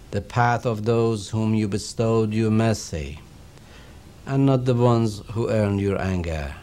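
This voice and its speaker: A middle-aged man with a Persian accent teaches and explains scripture in a slow, measured, reverent manner. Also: lowish voice, a little mellow, slight background noise. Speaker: Quran teacher. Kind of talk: recorded religious lecture.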